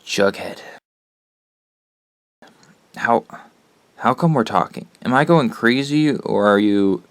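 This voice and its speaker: An actor playing a troubled, earnest teenage boy in an audio drama, speaking haltingly. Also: medium pitch, no room sound. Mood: bewildered.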